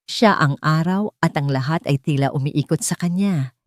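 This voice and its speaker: Low-voiced Filipino woman narrating dramatic fiction. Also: normal speed, no room sound, studio quality. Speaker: audiobook narrator. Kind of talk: dramatic scripted story narration.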